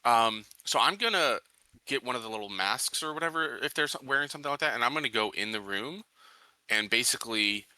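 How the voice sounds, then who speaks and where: A man with a sharp, very bright voice at a medium pitch talks as a player in a roleplaying game. He is casual and a bit hesitant, working things out aloud. The recording is close and dry. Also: animated, fairly quick, no room sound, poor audio.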